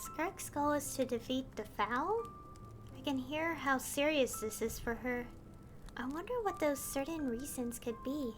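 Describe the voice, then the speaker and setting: A woman's voice: sits high, a little slow, over music. An actress playing a curious, thoughtful young female traveler who asks questions and muses to herself. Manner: thoughtful, inquisitive.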